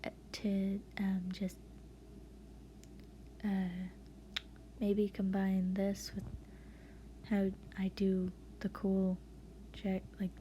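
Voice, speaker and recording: A woman's voice: mid-range voice, completely flat delivery, very slow, pauses often, dark tone, slight background noise. A woman giving a casual, rambling voice tutorial as a voiceover, with a hesitant, giggly and self-deprecating manner. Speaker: online video creator giving a voice acting tutorial. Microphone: voice-over recorded to accompany a speed paint.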